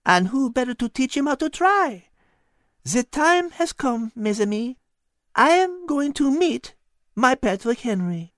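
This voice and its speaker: A man's voice: very high-pitched, sing-song, measured pace, fairly bright tone, dry recording, clean studio sound, pitched above the performer's own voice, exaggerated voice. A man voicing a small, sassy female cat with a French accent and a coy, lilting manner. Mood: coaxing.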